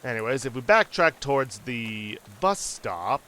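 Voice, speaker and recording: A man's voice: medium pitch, animated, unhurried, sharp tone. A man hosting a let's play, casually and wryly commenting and reading game text aloud.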